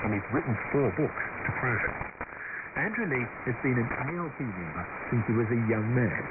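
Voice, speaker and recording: A man hosting, reading a scripted introduction over noisy shortwave. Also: medium pitch. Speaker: programme host. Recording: very noisy tinny shortwave radio.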